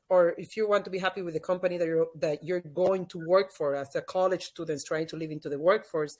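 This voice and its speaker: Woman with a Dominican Spanish accent. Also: on the low side. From Dominican Republic. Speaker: podcast guest, leadership expert and former teacher. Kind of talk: conversational interview, explanatory.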